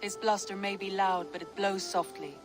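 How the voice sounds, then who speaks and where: A woman's voice: mid-range voice, steady tone, normal speed, lightly acted. A woman playing a level-headed viking advisor. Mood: calm. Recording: game cutscene over music, a little distant.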